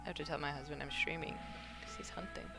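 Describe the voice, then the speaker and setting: A young woman streaming from home while she knits, chatting casually and rambling a little, her voice gravelly and slightly hoarse. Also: deep voice, fairly even intonation, quick pace, loud music behind the voice. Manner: rambling, chatty, with fillers and laughs. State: a bit hoarse from not talking for hours. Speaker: craft streamer. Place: at home, alone.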